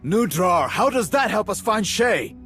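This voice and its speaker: A man's voice: high voice, sing-song, a little slow, a bit bright, soft background music, exaggerated voice. A man playing a hot-tempered, threatening hunter in a video game, blunt and impatient. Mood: frustrated. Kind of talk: video game cutscene acting.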